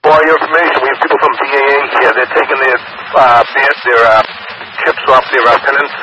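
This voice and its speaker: Male police officer, mid-pitched, muffled two-way radio with heavy noise, procedural updates. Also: fairly even intonation, a little fast. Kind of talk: terse police radio dispatch talk.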